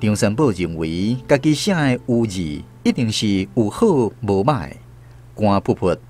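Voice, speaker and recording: A man with a Taiwanese Hokkien accent narrating a scripted radio story in an expressive storyteller's style. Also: on the low side, measured pace, soft background music.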